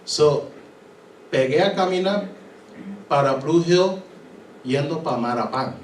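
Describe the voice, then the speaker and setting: A man's voice: on the high side, animated, slow pace, far from the mic, slight background noise. Puerto Rican pastor telling his story, echoey hall. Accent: Puerto Rican Spanish. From Puerto Rico. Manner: storytelling, acting out voices, mixing in English. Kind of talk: sermon testimony. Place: church hall.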